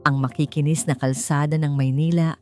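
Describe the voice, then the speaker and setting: A woman with a fairly low voice narrates a dramatic audiobook story. She reads from a script as a storyteller, with clear music running behind her. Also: flat delivery, normal speed, dark tone. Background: background music.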